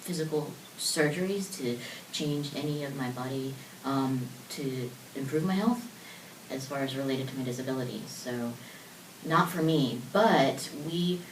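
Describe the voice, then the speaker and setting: A woman's voice: extremely low pitch, a little slow, far from the mic. A woman in her twenties, a guest on a talk show, answering in a casual, laughing, talkative way. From born in South Korea, raised in the United States. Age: 20s. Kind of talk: interview answers. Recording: roomy echo, voice from off mic, faint noise.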